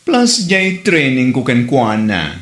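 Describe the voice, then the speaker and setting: A man's voice: mid-range voice, huge pitch swings, slow, fairly bright tone, roomy sound, full character voice. A man playing a sassy parlor beautician in an Ilocano comedy drama, with an Ilocano Filipino accent. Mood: businesslike. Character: sassy parlor beautician selling makeover training.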